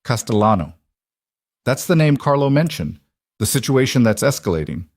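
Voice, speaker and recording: A man's voice: medium pitch, big pitch swings, normal speed, no room sound. A man voicing a frightened but resolute young mother in audiobook dialogue, with short, hesitant questions. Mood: wary.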